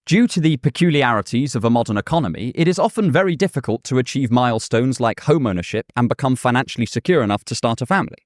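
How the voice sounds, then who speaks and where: Lively-voiced man narrating a video essay. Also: mid-range voice, no room sound, studio quality. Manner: measured, thoughtful, with a casual aside.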